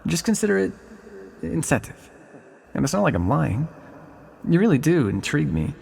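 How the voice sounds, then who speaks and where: Ancient male villain, acted by a man, ASMR roleplay, husky rough-edged voice, long echo, sly, lonely, flirtatious, intimate musing delivery. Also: fairly high pitch, very animated, darkish tone, exaggerated voice. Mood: playful, flirtatious. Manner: intimate, teasing, musing delivery with dramatic pauses.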